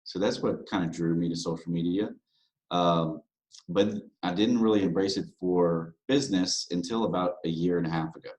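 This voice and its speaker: Deep-voiced man in his thirties. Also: moderate pace, poor audio. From Louisiana, USA. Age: 30s. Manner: relaxed, candid, many fillers. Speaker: guest, real estate broker. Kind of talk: podcast interview.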